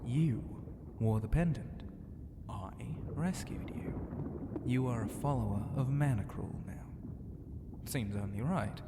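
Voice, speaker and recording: A man voicing a flirtatious, regal sea dragon ocean god in an ASMR roleplay, teasing and grandly courteous, often dropping into a breathy whisper. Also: mid-range voice, takes it slowly, close to an everyday voice. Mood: smug, possessive. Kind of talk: ASMR roleplay addressed to the listener. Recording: long cavernous reverb, clear ambient noise.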